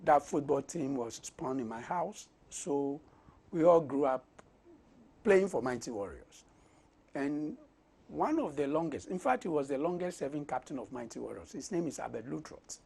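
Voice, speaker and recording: Husky, higher-pitched Ghanaian man. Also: animated, normal speed, a little mellow. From Osu, Accra, Ghana. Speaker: parliamentary candidate being interviewed. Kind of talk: conversational political interview.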